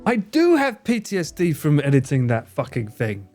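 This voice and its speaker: A man's voice: on the high side, wildly swooping pitch, normal speed, darkish tone, soft background music. A man streaming live, chatting casually with viewers in a joking, theatrical way and swearing freely. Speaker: streamer and cameraman.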